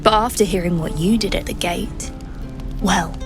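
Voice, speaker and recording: A woman's voice: fairly low pitch, normal speed, music in the background, lightly acted. A woman voicing a wry, pessimistic refugee gambler in a fantasy game, with a folksy drawl. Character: wry, pessimistic female refugee who likes a wager. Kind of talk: scripted game dialogue.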